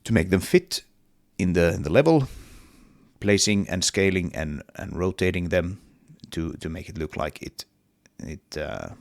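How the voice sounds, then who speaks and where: Man, very low gravelly voice, lively pitch, getting over a cold, hesitant game-dev walkthrough. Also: a little slow, no echo, clean studio sound. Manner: hesitant, many fillers and restarts. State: has a cold, voice partly lost. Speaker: indie game developer. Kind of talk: casual devlog walkthrough.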